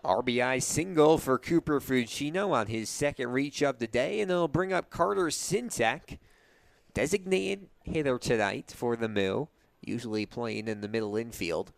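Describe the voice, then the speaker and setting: A man's voice: medium pitch, normal speed, vocal fry, no room sound. A young man doing live radio play-by-play commentary of a baseball game as the announcer. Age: young adult.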